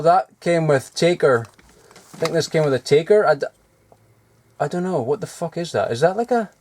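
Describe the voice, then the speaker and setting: A man's voice: a little high, darkish tone, a little hiss or hum. A man rummaging through a cluttered loft, talking casually with lots of fillers and swearing as he shows off old toys. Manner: swears often, filler-heavy and digressive. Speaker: vlogger. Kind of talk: casual rambling show-and-tell.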